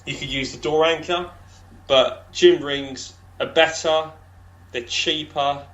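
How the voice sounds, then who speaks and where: A man with a deep voice, a podcast guest chatting casually, talking in loose, rambling sentences full of fillers and restarts. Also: steady tone, slow pace, a bit bright, highs cut off. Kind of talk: casual interview chat.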